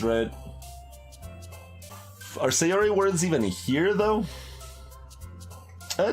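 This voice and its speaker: A man giving casual video game commentary as a streamer, hesitant and thinking aloud as he plays. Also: fairly high pitch. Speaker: gaming streamer.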